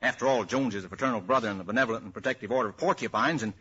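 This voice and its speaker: A man's voice: fairly low pitch, rapid-fire, bright, forceful tone, slightly rough. A man with an American accent playing a fretful, scheming character in 1940s radio comedy. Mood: worried, exasperated. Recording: muffled, noisy old radio recording.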